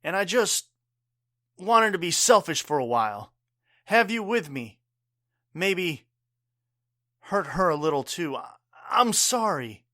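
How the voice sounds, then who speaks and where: A man acting a wounded, bitter father who is secretly a robot-building villain in a pulp radio drama, speaking haltingly and melodramatically. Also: high voice, big pitch swings, pushed and bright, no room sound, clean studio sound, full character voice. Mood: remorseful, halting.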